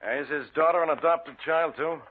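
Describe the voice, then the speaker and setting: An actor playing a gruff, irritable police inspector who is easily needled, in 1940s radio style. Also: male voice, a little high, normal speed, lightly acted. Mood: wry. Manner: blunt, exasperated. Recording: muffled, noisy old radio recording.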